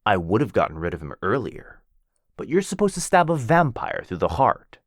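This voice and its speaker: A man's voice: lowish voice, very animated, normal speed, no echo, studio quality. Otto, a man voicing a cheesy flirty werewolf. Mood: playful.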